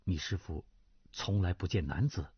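A man voicing a sorrowful middle-aged nobleman full of regret, speaking low and soft as he reads a martial arts novel aloud. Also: moderate pace, slightly rough, no room sound, full character voice. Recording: dry, slightly dull lo-fi recording.

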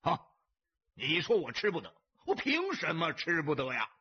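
A man voicing a loud, boastful, hot-tempered gambling boss in an audiobook story. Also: fairly high pitch, wildly swooping pitch, a little fast, husky, dull audio, full character voice. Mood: menacing. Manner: bellowing, boastful, taunting.

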